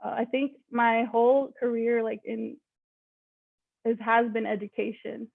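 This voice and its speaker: A woman from Mexico whose first language is Spanish talks loosely on a teacher panel, with lots of likes and ums. Her voice is gravelly and fairly flat, and it comes over a tinny, phone-like line with no room echo. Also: a little high, measured pace. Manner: casual, many likes and ums. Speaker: math teacher. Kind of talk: panel discussion.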